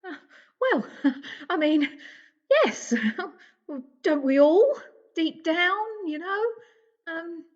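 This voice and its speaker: Quick-witted alien prisoner, played by a woman: high, lively, coaxing, amateur audio drama, slightly muffled sound. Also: exaggerated voice. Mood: nervous placating. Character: quick-witted, placating female alien traveller held prisoner.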